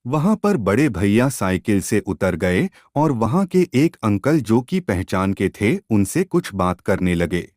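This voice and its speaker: A man narrating a scripted story as a storyteller, in a measured, dramatic way. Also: on the low side, very animated, a little mellow, no echo, clean studio sound.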